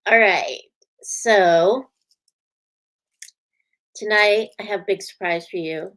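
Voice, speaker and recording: A woman's voice: mid-range voice, slow pace, fairly bright tone. A woman hosting a spiritual show in a casual chat, greeting viewers by name and laughing often, her voice a little scratchy tonight. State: slightly scratchy voice. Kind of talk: casual live-stream chat.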